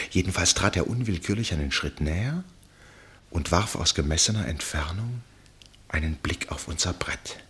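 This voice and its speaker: A cultivated gentleman narrating a radio drama. His voice sits very low with a husky grain. He speaks in measured phrases, with dry irony and an air of detachment. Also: full character voice. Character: wry, detached gentleman narrator and amateur chess player. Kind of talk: German radio play narration and dialogue.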